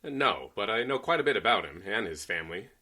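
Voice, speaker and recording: A man playing a brisk, skeptical, wry newspaper reporter in an old-time style radio play. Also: fairly low pitch, big pitch swings, pushed and bright, heavily acted. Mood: wry, dismissive.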